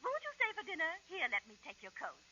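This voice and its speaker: Sugary housewife with a hidden temper; very high, 1940s radio comedy, noisy recording. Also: female voice, wildly swooping pitch, fast, highs cut off, exaggerated voice. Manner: exaggerated sweetness. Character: sugary wife hiding a murderous temper.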